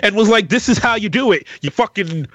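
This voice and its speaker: A man's voice: high voice, animated, brisk, dry recording, muffled recording. A man chatting casually on a podcast in a blunt, sweary way.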